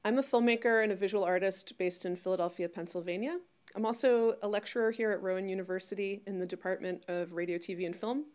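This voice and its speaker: A woman's voice: mid-range voice, fairly even intonation, fast talker, a little mellow. A woman in her forties, a lecturer, giving a scripted introduction to camera. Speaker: lecturer and filmmaker presenting. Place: indoor studio with curtain backdrop.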